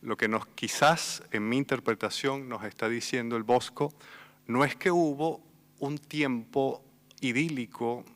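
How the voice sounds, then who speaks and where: A man from Venezuela with a Venezuelan Spanish accent, speaking thoughtfully on a literary festival panel, pausing and repeating words as he thinks. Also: mid-range voice, steady tone, a bit bright. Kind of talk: reflective panel talk. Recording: long hall reverb.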